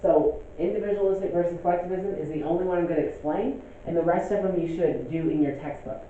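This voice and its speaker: A man's voice: high-pitched, fast talker, dark tone, slight background noise. Man lecturing in an echoey room. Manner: conversational, checks in with "okay?". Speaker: teacher. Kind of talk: classroom lecture. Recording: echoey room, phone-like lo-fi sound.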